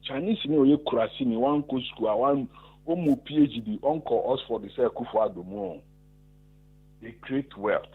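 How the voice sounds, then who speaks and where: A man's voice: medium pitch, normal speed, husky. A man with a Ghanaian accent, calling into a radio talk show and arguing emphatically while mixing Twi and English. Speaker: radio caller. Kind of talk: phone-in discussion. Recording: tinny phone line.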